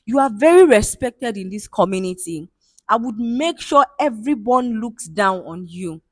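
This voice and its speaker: A woman's voice: fairly high pitch, expressive, a little slow, no echo. A woman with a Nigerian accent voicing a scheming, jealous village woman who gloats over her plans. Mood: scolding. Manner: gloating, plotting.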